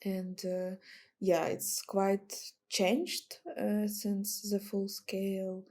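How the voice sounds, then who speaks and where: A woman from Ukraine with a Ukrainian accent, giving reflective, halting interview answers in non-native English as a guest artist. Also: mid-range voice, little pitch movement, warm tone.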